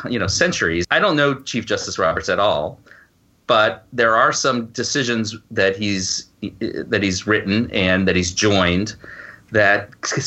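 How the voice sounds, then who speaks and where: Bright-voiced man, low and hesitant. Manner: hesitant, stammering, thinking aloud. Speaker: guest novelist and law professor. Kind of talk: casual conversational podcast interview.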